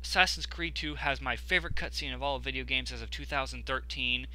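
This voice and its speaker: A man's voice: mid-range voice, steady tone, brisk, sharp tone, slight background noise, poor audio. A young man giving casual, rambling video commentary as an enthusiastic gaming fan. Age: young adult.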